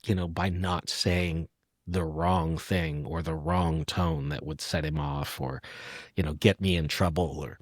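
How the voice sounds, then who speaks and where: A man's voice: a bass voice, fairly even intonation, measured pace, no echo, studio quality. A middle-aged man hosting a reflective poetry podcast conversation, musing in sentences that often trail off. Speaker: podcast host.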